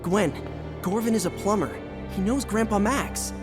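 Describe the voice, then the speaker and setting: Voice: mid-range voice, normal speed, close to an everyday voice. An actor playing a confident, eager teenage boy hero in a video game, with a flippant, casual delivery. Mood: wry, eager. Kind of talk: scripted video game cutscene acting. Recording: loud music behind the dialogue.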